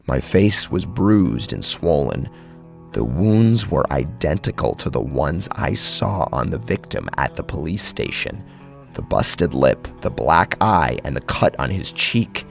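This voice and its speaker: Casting: male horror narrator, low gravelly register, theatrical and suspenseful, swinging widely in pitch over a soft music bed. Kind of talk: dramatic first-person horror story narration.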